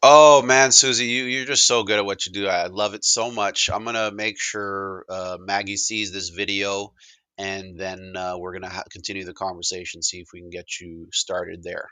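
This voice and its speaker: A man's voice: low voice, level tone, moderate pace, sharp tone, no room sound. A man giving a casual, unscripted product demo, talking in an enthusiastic but hesitant, rambling way.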